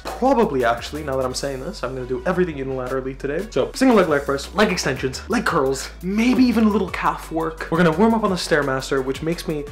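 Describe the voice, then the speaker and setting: A young man vlogging casually, rambling and swearing, getting loud and excited at times. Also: on the high side. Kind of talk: casual gym vlog talk. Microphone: camera mic.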